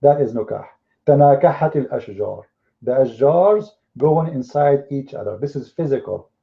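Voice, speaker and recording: A man's voice: medium pitch, lively intonation, moderate pace, vocal fry. A man whose first language is Arabic, with an Arabic accent, arguing heatedly in a live debate and firing off mocking rhetorical questions. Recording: phone-like lo-fi audio.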